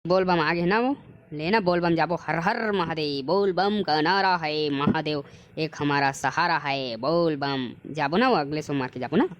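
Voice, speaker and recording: A man's voice: fairly high pitch, a little slow, a bit bright, dull audio, a little hiss or hum. A man playing a hungry, grumbling village husband in a comic cartoon, complaining colloquially with a Chhattisgarhi accent. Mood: eager. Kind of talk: comic cartoon dialogue in dialect.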